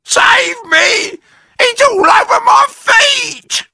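A man's voice: sits high, sing-song, slow pace, creaky, pushed and bright, no echo, clean studio sound, voice pushed up, full character voice. A man voicing a nasty old woman who cackles and shrieks in a storybook reading. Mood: panicked. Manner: screeching, cackling.

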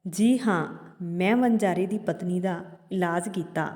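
A woman's voice: on the low side, a little slow, a little mellow, natural voice. Woman voicing a servile, pleading healer in reverb. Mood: deferential. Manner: deferential, pleading. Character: servile, frightened male village healer. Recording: long hall-like reverb on voice.

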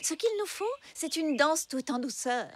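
A woman voicing a firm but gentle-minded village woman in a children's cartoon. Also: sits high, lively intonation, normal speed. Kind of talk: French children's cartoon acting.

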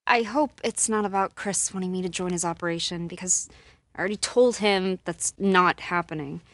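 An actress voicing a guarded, sarcastic, distressed young American woman with powers in a video game, speaking in a breathy, emotionally expressive way. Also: lowish voice, moderate pace. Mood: bitter, sarcastic. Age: young adult.

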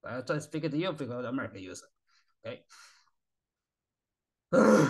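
A tired man, a native Spanish speaker, rambling in halting, repetitive broken English with sighs as he talks to his channel's viewers from a small bedroom on a webcam. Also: mid-range voice, big pitch swings, gravelly. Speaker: channel host. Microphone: webcam at desk.

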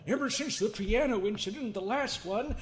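A man playing a quirky, needling therapist in an improvised comedic game dub, speaking theatrically with halting pauses and self-amused chuckles. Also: high-pitched, slight background noise, lightly acted. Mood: needling, wry.

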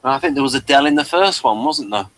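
A man's voice: mid-range voice, expressive, quick pace, a bit bright. A man chatting casually as he co-hosts a review podcast. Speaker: podcast co-host.

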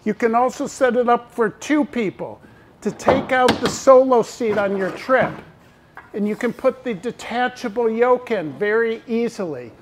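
A man's voice: very high-pitched, measured pace, a little hiss or hum. A middle-aged man presenting new products at a launch. He talks enthusiastically and speaks to viewers and staff by name. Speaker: company owner presenting boats.

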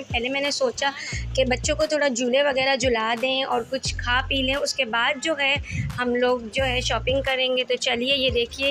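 A woman's voice: high-pitched, bright, forceful tone. A woman in her thirties with a Karachi Urdu accent, narrating a family mall outing in a chatty voice-over full of fillers. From Pakistan. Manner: chatty, leans on filler "jo hai" constantly. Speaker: vlogger. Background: music.

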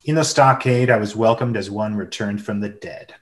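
A man's voice: on the low side, moderate pace. A man giving dramatic scripted narration as the storyteller of an adventure radio play.

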